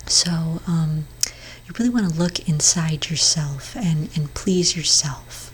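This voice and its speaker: Woman, low-pitched, breathy near-whisper; gentle therapist roleplay for ASMR, reassuring and hesitant, faint ambience behind. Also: slow pace, a little hiss or hum, low-quality recording. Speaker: ASMR performer role-playing a therapist. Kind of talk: soft-spoken ASMR roleplay.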